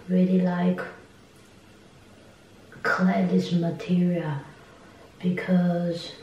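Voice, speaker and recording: A woman with a Taiwanese accent answering interview questions in halting, simple non-native phrasing. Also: fairly low pitch, darkish tone. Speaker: interviewee, ceramic artist. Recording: echoey room, distant mic, faint noise.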